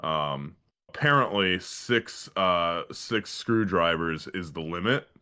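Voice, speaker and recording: A man co-hosting a podcast, telling comic anecdotes in a halting, slangy way with heavy swearing. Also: deep voice, lively intonation, pushed and bright, clear, resonant tone. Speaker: podcast co-host. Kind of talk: casual comic anecdote chat.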